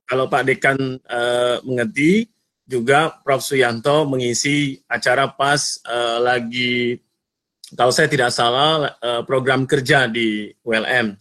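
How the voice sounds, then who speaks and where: A man's voice: mid-range voice, steady tone, slow, bright, forceful tone. A middle-aged man from North Sumatra, chatting and joking with the audience as a guest speaker in an online seminar over a computer mic. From North Sumatra, Indonesia. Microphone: computer mic on a video call.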